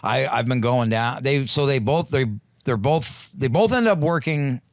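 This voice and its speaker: A man's voice: medium pitch, a little slow, no room sound, studio quality. A man, a comedian and drug counselor, chatting as a podcast guest, rambling and swearing, restarting his sentences. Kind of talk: casual chat.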